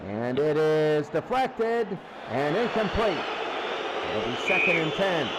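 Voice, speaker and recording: A man calls a football game live. He speaks in short, clipped phrases, often repeating the down and distance. The broadcast has clear noise behind him. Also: sits high. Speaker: play-by-play sports announcer. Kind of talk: live American football commentary.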